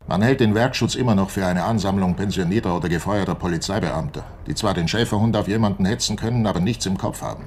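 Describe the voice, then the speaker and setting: A man acting in a radio play as a defensive, self-important head of company security who explains himself deliberately. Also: deep voice, a little mellow, slight background noise, close to an everyday voice. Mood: piqued.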